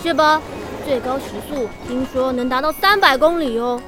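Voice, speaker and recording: Timid, high-pitched schoolkid. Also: female voice, moderate pace, pushed and bright, soft background music. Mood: earnest. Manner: hesitant. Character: timid, hesitant schoolchild, meek and earnest. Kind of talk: children's audio drama acting.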